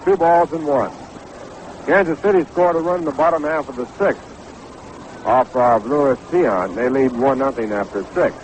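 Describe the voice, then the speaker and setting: A man's voice: mid-range voice, moderate pace, darkish tone. An American man doing 1960s radio baseball play-by-play as the announcer. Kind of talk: 1960s radio sports commentary. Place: stadium broadcast booth. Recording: noisy 1960s AM radio broadcast.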